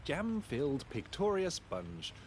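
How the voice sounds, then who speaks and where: A gentleman pig detective from a video game, talking to himself with huge swoops in pitch. He savours every word like a devoted glutton, over faint background noise. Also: very animated, slow, full character voice. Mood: musing, greedy. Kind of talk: scripted game dialogue.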